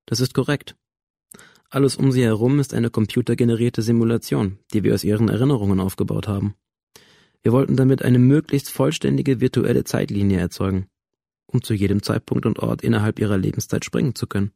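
A coolly clinical official researcher explains things in a radio drama. The delivery is formal and bureaucratic, matter-of-fact throughout, in a dry studio sound. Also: male voice, medium pitch, brisk, gravelly, warm tone, no room sound.

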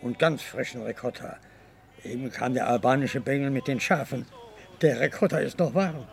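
Deferential henchman played by a man: gravelly mid-range voice, earthy and obliging, radio crime drama. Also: medium pitch, normal speed, lightly acted. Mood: respectful, caring. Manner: respectful, earthy. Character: deferential Sicilian henchman serving his mafia uncle.

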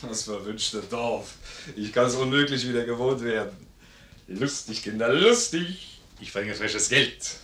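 An actor playing a boisterous, generous, war-loving sergeant in a 1950s German radio play, hearty and exclamatory. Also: male voice, mid-range voice, unhurried, fairly bright tone, natural voice. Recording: roomy 1950s radio studio recording.

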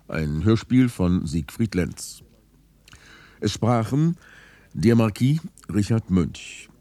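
A man's voice: on the low side, mellow, no echo. A man reading a formal scripted radio announcement and credits as an announcer.

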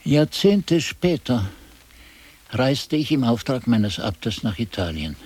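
A man's voice: lowish voice, a little mellow, slightly rough, heavily acted. An actor playing a weary, disillusioned old monk who solemnly recounts his past in a radio drama. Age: older. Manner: solemn, deliberate.

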